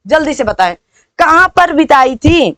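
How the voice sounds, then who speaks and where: A woman teaching an exam-preparation lesson, repeating points and prompting listeners with quick rhetorical questions. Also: sits high, animated, fairly bright tone, dry recording, studio quality.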